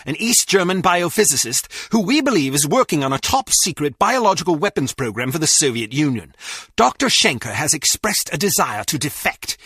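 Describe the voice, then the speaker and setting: Stern agency official, fairly high male pitch, husky edge, patronising, formal game briefing. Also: a bit bright, dry recording, clean studio sound, full character voice. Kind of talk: formal scripted mission briefing in a video game.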